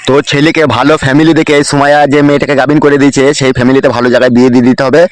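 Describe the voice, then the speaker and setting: An actor playing an angry, blunt village boss in a cartoon comedy, threatening people in a rural West Bengal accent. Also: male voice, medium pitch, flat delivery, rapid-fire, dry recording, natural voice. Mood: stern, demanding. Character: angry, foul-mouthed male village boss bullying a family.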